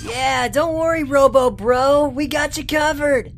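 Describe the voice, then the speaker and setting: A woman's voice: sits high, a little slow, slight background noise. A woman playing a goofy, kindhearted teenage boy turtle in an audio drama, with a breezy, slangy manner. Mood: upbeat.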